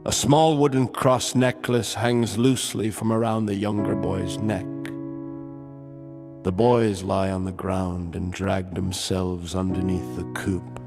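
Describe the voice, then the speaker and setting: A man reading a screenplay as narrator in a measured, deliberate way, scene by scene. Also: mid-range voice. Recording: long reverb, loud music underneath.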